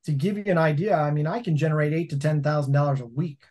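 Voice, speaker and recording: A man's voice: a little high, quick pace. Storytelling man on a video call. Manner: storytelling, animated hand gestures. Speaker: laundromat owner and guest. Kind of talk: casual interview chat. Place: home office.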